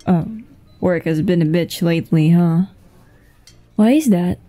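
Woman acting a mellow, unhurried dinner date. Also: lowish voice, natural voice. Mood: relaxed, chatty. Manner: unhurried, giggly, casual. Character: flirtatious, easygoing businesswoman on a dinner date. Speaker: audio roleplay voice actor. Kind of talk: intimate ASMR roleplay acting, one-sided conversation. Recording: music behind the voice.

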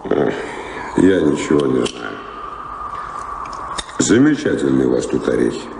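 Актёр радиоспектакля играет усталого бродячего певца, задумчивого и загадочного, с хрипловатым скрипучим голосом. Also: male voice, rumbling low, expressive, unhurried, exaggerated voice. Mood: calm, evasive. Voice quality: gravelly. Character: weary wandering singer, a pilgrim, wistful and enigmatic. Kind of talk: radio drama acting. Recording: echoey hall with background noise.

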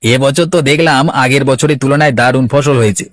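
A man's voice: mid-range voice, steady tone, brisk, dry recording, clean studio sound, natural voice. Scheming, fawning estate steward played by a man. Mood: fawning, persuasive. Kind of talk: Bangla cartoon voice acting.

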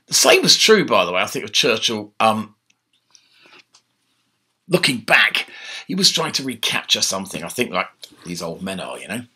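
An older man giving a casual, animated history lecture from his home study, speaking into a laptop webcam. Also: on the low side, normal speed, pauses often, husky. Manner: animated, conversational, gesturing with his hands. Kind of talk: informal online history talk. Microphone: laptop webcam mic.

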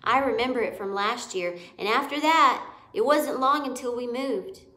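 A woman voicing a calm, serious, thoughtful young boy mouse who has been ill, in a storybook. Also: a little high, normal speed, voice pushed up. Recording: echoey room.

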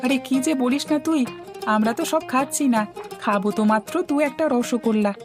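Casting: woman playing greedy, persuasive cartoon village lad, high-pitched, smooth-talking his way past any worry, music underneath. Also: a little fast, natural voice. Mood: coaxing. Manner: coaxing, dismissive of worries. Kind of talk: cartoon voice acting.

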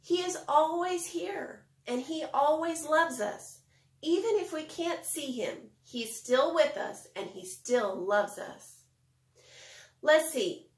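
A middle-aged woman giving a lesson and storybook read-aloud for young children, speaking in simple, expressive phrases. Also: high voice, takes it slowly. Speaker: preschool Bible teacher. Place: home kitchen, indoors. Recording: roomy kitchen echo.

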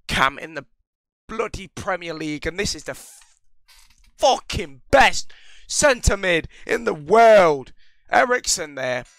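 A man's voice: fairly high pitch, fairly bright tone, dry recording. A young man with a cold giving rambling, casual commentary over a football game, full of ums and restarts. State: recovering from a cold. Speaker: gaming channel host.

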